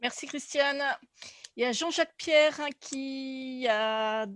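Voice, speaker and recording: A woman with a slightly high voice moderates an online meeting in an informal way. Her sound is dry and close, with little room in it. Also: takes it slowly, a bit bright, no echo. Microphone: video call.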